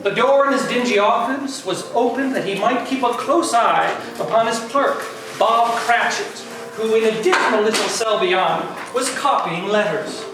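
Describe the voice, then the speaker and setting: A man acting as the theatrical narrator of a comic Christmas tale in an amateur read, stressing words dramatically. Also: high voice. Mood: dramatic. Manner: dramatic, drawn-out emphasis. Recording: cavernous echo, distant, music behind.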